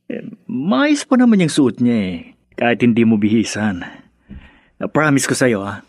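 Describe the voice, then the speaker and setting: Hesitant, coaxing young Filipino husband. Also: male voice, mid-range voice, big pitch swings, normal speed, darkish tone, no room sound, clean studio sound. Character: appeasing young husband and dutiful younger son. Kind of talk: Filipino radio drama acting.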